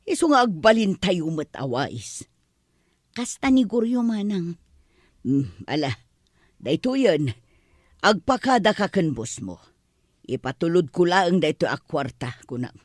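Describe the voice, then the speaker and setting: A woman's voice: medium pitch, dry recording, studio quality. A woman acting exasperated, complaining women of a struggling family in a comedy drama, with an Ilocano accent.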